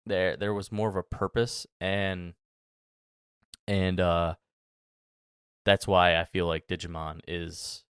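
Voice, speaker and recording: A thirty-year-old man hosts a solo podcast in a deep, smooth voice. He talks casually and loosely, circling back with ums and restarts. The recording is close and dry. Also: flat delivery, slow pace, long pauses, a bit bright, no room sound. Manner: rambling, many fillers and restarts. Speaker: podcast host.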